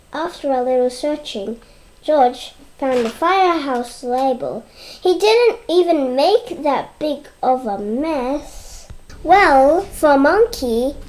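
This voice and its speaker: A child reading a storybook aloud in a halting way, stumbling and repeating words. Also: high-pitched, a little mellow, rough recording. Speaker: read-aloud presenter.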